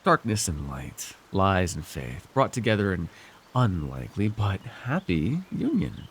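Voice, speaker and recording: A man playing a scheming, possessive dark sorcerer ruler in a fantasy roleplay, speaking theatrically and condescendingly with sighs and deliberate pauses. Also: sits low, sing-song, a little hiss or hum, heavily acted. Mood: smug, grandiose. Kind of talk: immersive ASMR fantasy roleplay acting.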